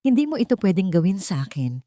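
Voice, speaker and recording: A woman's voice: mid-range voice, big pitch swings, fast, dark tone, no echo, clean studio sound, lightly acted. Woman playing a scheming, falsely sweet pleading wife. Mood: desperate pleading. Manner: feigned innocence, pleading.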